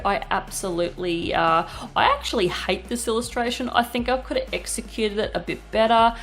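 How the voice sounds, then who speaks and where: A woman's voice: medium pitch, a bit bright. A woman with a nasal, sniffly voice, chatting bluntly over her art video with lots of fillers and casual swearing. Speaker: art channel host.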